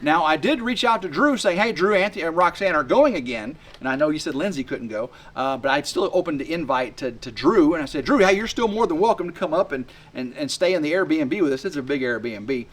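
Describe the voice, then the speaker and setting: Excitable rambling man in his fifties. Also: a little high, brisk, few pauses. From West Tennessee. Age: 50s. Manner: rambling and excitable, many fillers and restarts. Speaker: homesteading vlogger. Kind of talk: rambling casual vlog to camera. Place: outdoors by a work shed on a sunny day.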